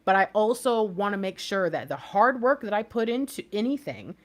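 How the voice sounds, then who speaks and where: A woman in her thirties from the United States gives a casual tarot reading at a middling pitch. She talks in a chatty, rambling way, often trailing off into asides, and laughs easily along the way. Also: very animated, normal speed. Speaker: tarot reader.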